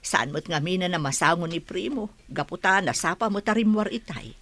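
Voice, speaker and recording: A woman's voice: on the low side, animated, a little fast, a bit bright. A woman playing a sympathetic, talkative middle-aged village aunt in a radio drama. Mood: friendly, brisk. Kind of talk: Ilocano radio drama acting.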